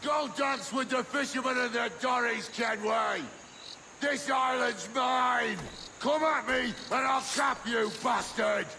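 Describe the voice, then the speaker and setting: Gravelly, crazed pirate captain. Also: male voice, extremely high pitch, takes it slowly, heavily acted. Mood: deranged, defiant. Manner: menacing, taunting, foul-mouthed. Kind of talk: video game cutscene acting. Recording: music behind the voice.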